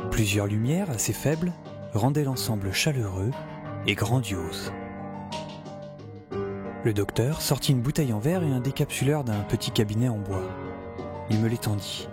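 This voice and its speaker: An actor playing a flippant professor and explorer in an audio drama, wry and informal in narration and dialogue. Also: male voice, mid-range voice, lightly acted. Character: flippant, hesitant male professor and explorer narrating his adventure.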